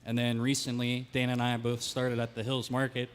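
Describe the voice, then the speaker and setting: A man's voice: mid-range voice, completely flat delivery, normal speed, a little hiss or hum. A man, a chef, talking plainly and easily during a live cooking demonstration.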